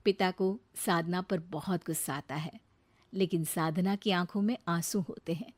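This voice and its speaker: A woman's voice: lowish voice, fairly even intonation, normal speed, dry recording. A woman serves as narrator of a scripted tale. She tells it as a storyteller, linking one scene to the next.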